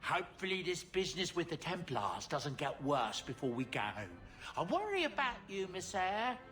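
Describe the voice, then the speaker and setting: A kindly older dwarf merchant from a video game, hesitant and polite with folksy warmth. His voice rises and falls expressively, with music playing behind it. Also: sits high, big pitch swings, normal speed, heavily acted. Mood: fond, apologetic. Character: kindly, fretful aging dwarf merchant, devoted father. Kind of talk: video game character acting.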